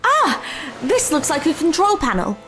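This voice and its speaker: A woman's voice: sits high, big pitch swings, moderate pace, fairly bright tone, faint music behind. A woman playing a curious, brave, level-headed adventurer in an audio drama, speaking in a precise, articulate way. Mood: intrigued.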